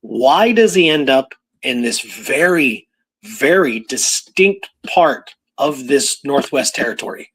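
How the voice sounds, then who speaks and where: A man's voice: medium pitch, big pitch swings, takes it slowly, a bit bright, husky, poor audio. A man co-hosting a show, talking casually through history and voicing imagined thoughts as he tells it. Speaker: radio show co-host.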